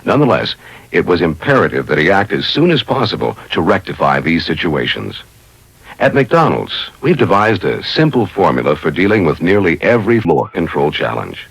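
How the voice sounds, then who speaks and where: A man's voice: sits low, very animated, normal speed, vocal fry, husky, no room sound, rough recording. A man reading scripted instructional narration in a formal, methodical way, as the narrator of a training video.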